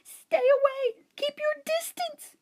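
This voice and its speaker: A woman voicing a small, excitable male hamster in a children's storybook, with a squeaky, high, dramatic voice. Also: slow pace. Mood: squeaky, urgent.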